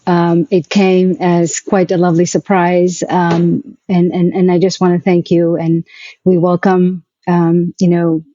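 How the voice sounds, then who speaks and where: A woman with a South African accent speaking conversationally as an interview guest, haltingly and reflectively repeating words. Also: lowish voice, little pitch movement, dark tone, no echo, highs cut off. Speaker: interview guest, arts nonprofit executive. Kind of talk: conversational personal account.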